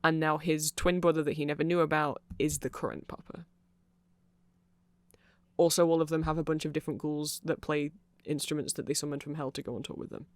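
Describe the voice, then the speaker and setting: A performer voicing a nerdy, apologetic vampire shopkeeper in a casual ASMR roleplay, rambling hesitantly and excitably to a friend. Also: medium pitch, a little fast, no echo, lightly acted. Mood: eager explaining.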